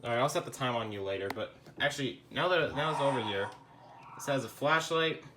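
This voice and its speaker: A man's voice: medium pitch, lively intonation, fairly quick, heavy background noise. A young man showing off his radio collection in a casual, rambling way, with the odd muttered curse. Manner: laid-back and rambling, with mild swearing. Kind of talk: casual rambling product demo.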